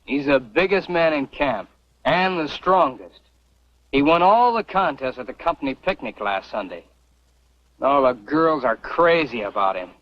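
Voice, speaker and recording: A man's voice: medium pitch, lively intonation, measured pace, dry recording, lightly acted. An actor playing an eager, lovestruck young mining camp man with an earnest, boyish manner in a vintage Western radio drama. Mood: lovestruck, wistful. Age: young adult. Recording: muffled old radio recording, faint music.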